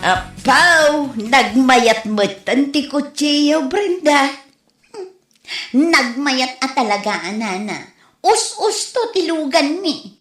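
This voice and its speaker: A woman's voice: sits high, animated, takes it slowly, fairly bright tone, roomy sound, soft background music, exaggerated voice. A woman with an Ilocano accent playing a boastful, easily offended village woman in a comedy audio drama, exclamatory and teasing. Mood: indignant, boastful.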